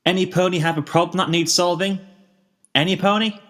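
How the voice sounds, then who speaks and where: A man's voice: high-pitched, fairly even intonation, brisk, fairly bright tone, pitched above the performer's own voice. A man voicing a small, young, earnest and easily discouraged cartoon dragon with a plaintive manner in a story reading. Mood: flat, weary. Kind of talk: fan fiction character reading.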